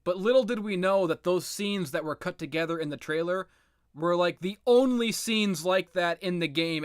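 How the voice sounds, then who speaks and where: Blunt, sardonic man with a higher-pitched voice. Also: a bit bright, smooth, dry recording, studio quality. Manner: blunt, sardonic, profane ranting. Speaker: video game commentator, host. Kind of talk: casual unscripted opinion commentary on video games.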